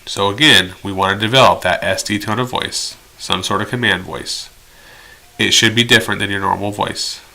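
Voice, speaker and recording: Man teaching, very bright forceful voice. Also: lowish voice, steady tone, moderate pace, creaky. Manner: direct, instructional, conversational asides. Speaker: instructor in behavior therapy training. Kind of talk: explanatory training lecture with examples.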